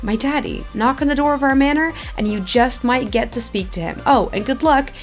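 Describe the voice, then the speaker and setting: A woman's voice: high voice, a little fast, faint music behind. A woman voicing an offhand, unhelpful video game character from a manor family, reading the quest dialogue aloud. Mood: dismissive.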